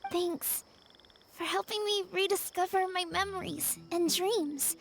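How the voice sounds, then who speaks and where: A woman voicing a gentle, earnest young girl in a video game, with a soft, childlike voice. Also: very high voice, unhurried, gravelly, heavily acted. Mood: earnest, tender. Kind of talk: video game character acting.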